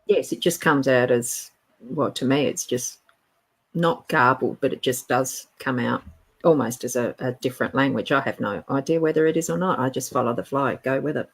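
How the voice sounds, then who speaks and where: A woman co-hosting a casual spiritual chat, speaking in a reflective, gentle way with frequent agreeing murmurs. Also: rumbling low, moderate pace, breathy edge.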